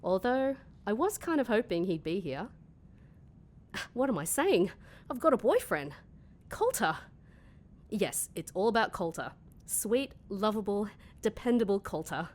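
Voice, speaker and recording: Lively, flustered female office worker. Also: mid-range voice, unhurried, pauses often. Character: flustered office worker Sienna, woman hiding secret crush. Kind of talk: mockumentary-style comedy audio drama, talking to camera.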